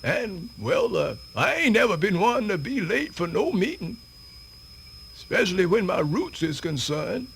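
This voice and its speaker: A man's voice: sits high, very animated, creaky, a bit bright, slight background noise, full character voice. A man playing a spirited, very old American folk storyteller born a slave, dramatic and folksy in a radio theatre monologue. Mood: folksy, rambling. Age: older. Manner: dramatic drawn-out delivery, folksy hesitations and drawl.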